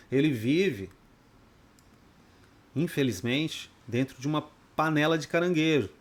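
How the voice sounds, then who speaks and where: Blunt, cursing man lecturing. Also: fairly high pitch. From Brazil. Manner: blunt and profane, rhetorical questions. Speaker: trading course instructor. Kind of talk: unscripted lecture to students.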